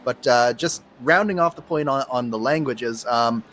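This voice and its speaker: A man's voice: medium pitch, normal speed, fairly bright tone, faint music behind. A man co-commentating a sim race, explaining driving in a hesitant, thoughtful way. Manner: hesitant, thoughtful, many restarts. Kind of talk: live race commentary chat.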